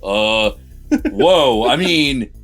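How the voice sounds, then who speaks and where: A man co-hosting a casual film review, chatting in an informal, animated, sweary way. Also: mid-range voice, very slow, soft background music. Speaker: movie review co-host.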